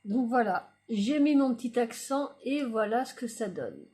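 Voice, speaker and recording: A woman's voice: fairly high pitch, lively intonation, unhurried. A woman talking casually through a card-making tutorial, chatty and hesitant, often trailing off with fillers as she works. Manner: quiet, murmured while working on the craft. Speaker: crafting YouTuber.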